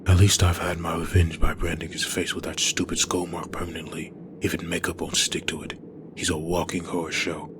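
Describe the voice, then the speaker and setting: A man's voice: deep voice, level tone, fairly quick, background noise, close to an everyday voice. A man voicing a bitter, brooding undead antihero in a grim inner monologue for a comic dub.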